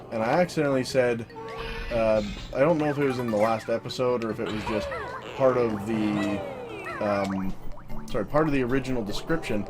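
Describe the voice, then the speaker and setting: A woman with an unusually deep, low voice narrates her gameplay in a flat, monotone, offhand way. She jokes at her own expense and swears casually. Loud video game music plays behind her voice throughout. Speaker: let's play commentator. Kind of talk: solo gameplay commentary.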